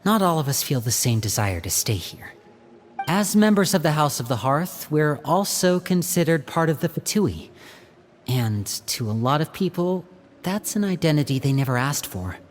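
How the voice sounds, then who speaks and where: Composed young man, measured and serious. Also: fairly high pitch, very animated. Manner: measured, explanatory, sighing. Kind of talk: video game voice acting.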